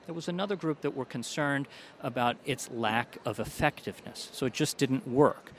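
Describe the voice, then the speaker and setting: A man, a doctor, answering interview questions about a study in a measured, explanatory way. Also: mid-range voice, a little hiss or hum. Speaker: doctor, allergy specialist.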